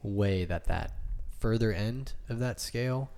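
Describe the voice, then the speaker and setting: A man's voice: lowish voice, measured pace, gravelly, darkish tone. A man in his late twenties explaining his thoughts casually as part of a panel discussion. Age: late 20s.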